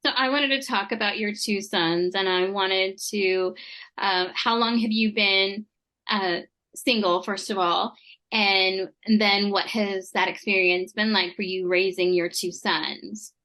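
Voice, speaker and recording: A woman's voice: medium pitch, steady tone, a little slow, bright, forceful tone. A woman hosting a podcast interview, encouraging and affirming toward her guest. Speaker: podcast host.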